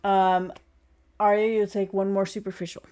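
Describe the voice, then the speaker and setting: A woman running a tabletop roleplaying game as game master, explaining rules in a halting way. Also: medium pitch, flat delivery, mellow, clean tone.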